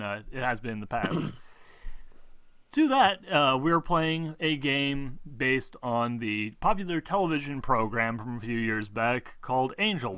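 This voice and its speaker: A man with a bright, forward voice, recorded close and dry. He runs a tabletop roleplaying show, explaining things in long, casual sentences. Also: medium pitch, no room sound. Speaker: game master and host of an actual-play show. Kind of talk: casual tabletop game introduction.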